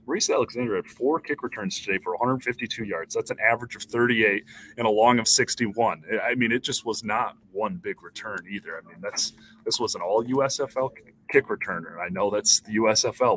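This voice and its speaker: A man in his thirties talks through a postgame recap over a headset mic, loose and conversational, firing off numbers and restarting sentences. His voice sits a little low but sounds bright and forceful. Faint room ambience sits behind him. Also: slight background noise, rough recording. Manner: conversational, rattling off stats with restarts. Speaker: sports beat reporter. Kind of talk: casual postgame live stream. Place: small indoor room.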